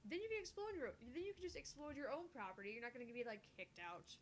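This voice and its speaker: A woman's voice: high voice, sing-song, quick pace, slight background noise. A woman chatting casually as a co-host on a let's play. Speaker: let's play co-host voicing visual novel dialogue. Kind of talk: casual chat.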